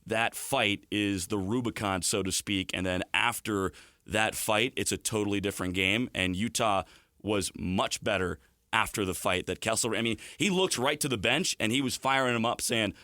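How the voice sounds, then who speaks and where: A man with a fairly low voice talks sports as a guest analyst. He explains in a fluent, conversational way, leaning on stories, and the sound is dry with very little room on it. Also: moderate pace, pushed and bright, dry recording. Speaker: hockey play-by-play broadcaster as studio guest.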